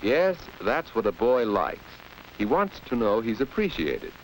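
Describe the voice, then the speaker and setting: A man's voice: medium pitch, unhurried, poor audio. An American man narrating a 1940s instructional film in a guiding, rhetorical manner. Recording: old film soundtrack with steady hiss.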